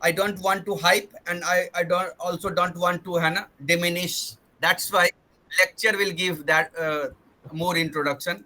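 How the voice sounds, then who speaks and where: A man's voice: sits high, steady tone, moderate pace, pushed and bright. A man hosting an online science webinar, earnest and formal, often repeating and rephrasing himself over a video call. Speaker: webinar host.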